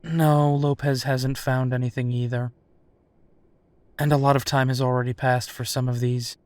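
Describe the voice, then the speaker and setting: A man's voice: medium pitch, flat delivery, normal speed, a little mellow, clean tone, no room sound, lightly acted. A man playing a protective, teasing werewolf private investigator in an intimate roleplay audio drama, speaking in a conversational, affectionate way.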